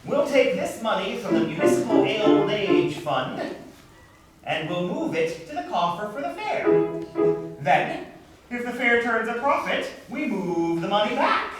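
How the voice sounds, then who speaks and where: Guy doing a crooked blowhard boss, stage musical comedy, swoopy high voice, super echoey. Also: very animated, measured pace. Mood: sly scheming. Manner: blustering, browbeating. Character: pompous, bullying, crooked town executive boss. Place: staged reading before a live audience. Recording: echoey live stage, distant mic, piano.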